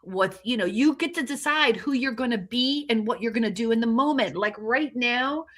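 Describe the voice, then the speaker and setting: A woman's voice: fairly high pitch, lively intonation, normal speed, a bit bright. A woman in her sixties co-hosting a casual online chat, upbeat and motivational. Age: 60s. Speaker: co-host.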